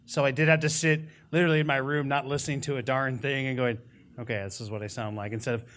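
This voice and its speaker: A man's voice: medium pitch, steady tone, fast, fairly bright tone. A man telling a casual anecdote as an actor on a live convention panel.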